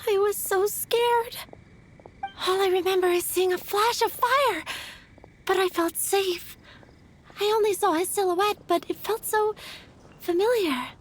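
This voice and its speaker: Dreamy lovestruck florist. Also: female voice, very high voice, a little slow, long pauses, slight background noise.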